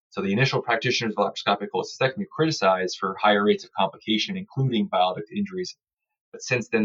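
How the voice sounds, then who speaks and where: Male expert guest, articulate and technical, brisk delivery, slightly dull lo-fi audio. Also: fairly low pitch, a bit bright. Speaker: surgeon-researcher guest. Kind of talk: explanatory research interview, technical.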